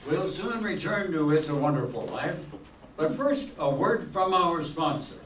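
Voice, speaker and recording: A man's voice: a little high, lively intonation, unhurried, over music. A man narrating and announcing an old-time radio play as a scripted reading. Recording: echoey distant room, faint noise.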